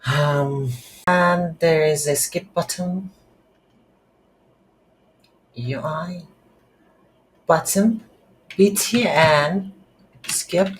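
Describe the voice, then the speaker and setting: A tutorial instructor thinking aloud in a halting, unscripted way while demonstrating game development on a computer. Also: mid-range voice, low-quality recording. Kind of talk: unscripted screen-recorded software tutorial. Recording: background hiss, keyboard typing noise.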